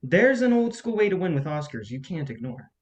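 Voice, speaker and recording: A man playing a level-headed assistant to a studio boss in a staged play reading, calming and reasoning. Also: on the high side, fairly quick, highs cut off. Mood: placating.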